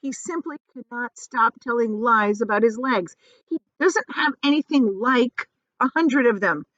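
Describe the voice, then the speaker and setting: A woman's voice: a little high, a little slow, fairly bright tone, no room sound, lo-fi sound, voice pushed up. A woman voicing a prim, indignant male earthworm in a storybook, grumpy and disapproving. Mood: exasperated.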